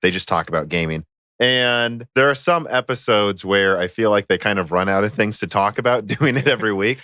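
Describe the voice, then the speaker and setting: A man's voice: lowish voice, a little fast, bright, forceful tone, dry recording, studio quality. An American man hosting a casual tech podcast, chatty and rambling with frequent fillers. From United States. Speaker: podcast host.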